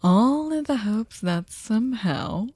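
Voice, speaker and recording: A woman's voice: fairly high pitch, lively intonation, takes it slowly, a little mellow, breathy edge, dry recording, studio quality, exaggerated voice. A woman playing a sadistic, seductive aristocratic vampire in an ASMR audio drama, teasing and mock-polite, often slipping into a whisper. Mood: mocking, amused.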